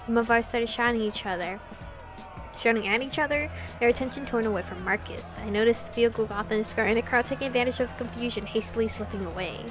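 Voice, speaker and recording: High-pitched expressive woman gamer. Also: quick pace, music in the background. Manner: expressive, reactive, swears casually. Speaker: host of a visual novel playthrough. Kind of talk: reading game text aloud with casual reactions.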